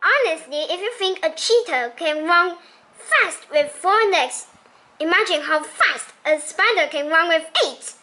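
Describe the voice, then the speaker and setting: A woman with a very high, bright voice reads a children's storybook aloud. She takes her time, placing each phrase deliberately with expressive, childlike storytelling. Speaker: storybook reader.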